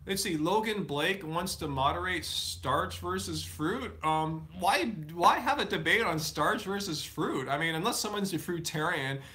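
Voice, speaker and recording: A man's voice: high-pitched, expressive, a little slow, few pauses, pushed and bright. A man hosting a live stream, answering viewer questions in a casual, rambling way with many fillers and restarts. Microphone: live stream setup.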